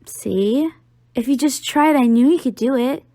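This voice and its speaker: A woman's voice: high-pitched, unhurried, warm tone, no echo, studio quality. A young woman playing an affectionate, teasing college-age girlfriend in an audio roleplay, talking playfully to the listener.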